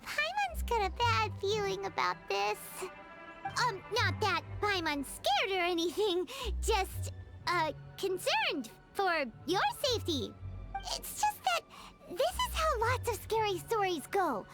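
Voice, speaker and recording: Squeaky, very high childlike fairy. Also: female voice, big pitch swings, takes it slowly, heavily acted. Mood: nervous. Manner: speaks of herself in third person, exclamatory. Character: tiny excitable floating fairy companion, childlike, jumpy, bossy. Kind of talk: English video game voice acting. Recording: loud background music. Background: game music.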